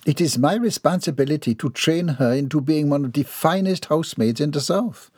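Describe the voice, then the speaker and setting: A man voicing a formal, commanding mistress of a mansion, from Jamaica, who speaks primly and briskly. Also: on the high side, darkish tone, husky, dry recording, clean studio sound, pitched above the performer's own voice. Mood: proper.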